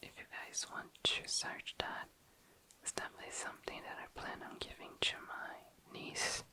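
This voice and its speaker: A woman's voice: moderate pace, bright, forceful tone. A woman whispering breathily and casually in ASMR style, informal and self-deprecating, close to the microphone. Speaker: ASMR video creator. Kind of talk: whispered ASMR, casual rambling commentary while working.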